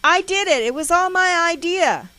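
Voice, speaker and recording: A woman's voice: extremely high pitch, expressive, normal speed, pushed and bright, pitched above the performer's own voice, exaggerated voice. Woman acting out a screaming, hysterical young girl. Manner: hysterical, defiant.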